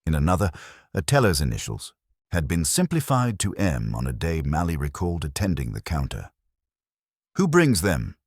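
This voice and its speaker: A man with a very low voice narrates a scripted story in a measured, literary way. His tone is husky and the pitch moves in lively swings, recorded close and dry. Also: no echo.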